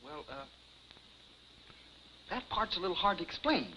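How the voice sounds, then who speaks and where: A man's voice: high voice, big pitch swings, normal speed, pushed and bright, husky, heavily acted. An actor playing a meek, earnest young delivery man in a 1950s television comedy, hesitant, stammering and boyish. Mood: flustered, pleading. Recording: muffled 1950s television soundtrack, faint hiss.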